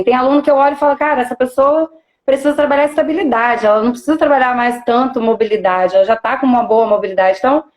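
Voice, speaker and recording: Mulher de voz um pouco aguda, conversa descontraída. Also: female voice, high voice. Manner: relaxed, chatty, many fillers. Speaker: physical educator and host. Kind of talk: casual live-stream interview.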